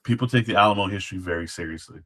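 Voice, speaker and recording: A man speaking as an interview guest, explaining history casually in halting phrases with trailing asides. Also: low voice, fairly bright tone. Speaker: guest, former Alamo guard.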